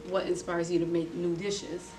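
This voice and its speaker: A woman's voice: fairly low pitch, little pitch movement, moderate pace, mellow, far from the mic. A woman hosting a podcast, interviewing a guest in a casual, friendly way, chatty with fillers and frequent laughs. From South Florida. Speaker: podcast host.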